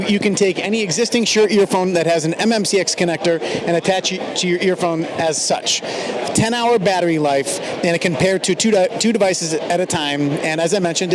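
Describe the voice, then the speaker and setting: A man with a high voice delivers a technical product announcement as a company representative. His pitch is informative, and a busy crowd is clearly heard behind him. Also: rough recording. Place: press event show floor.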